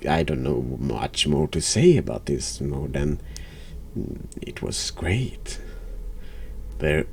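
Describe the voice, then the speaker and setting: Very deep, creaky man, slow and halting. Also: darkish tone. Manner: halting, hesitant, word-repeating. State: has a cold. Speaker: streamer and horror game reviewer. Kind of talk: casual game commentary.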